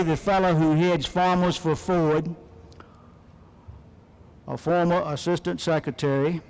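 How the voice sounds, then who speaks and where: A man's voice: high-pitched, clean tone. A man with an American Midwestern accent giving a folksy, wry political speech at a convention, on an old archival recording. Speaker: convention speaker. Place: large convention hall. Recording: 1970s archival recording in a large hall.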